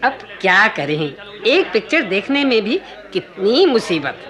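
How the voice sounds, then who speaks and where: Complaining female moviegoer; lively, highish voice, radio drama delivery. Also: unhurried. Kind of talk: radio play acting. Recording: crowd noise behind.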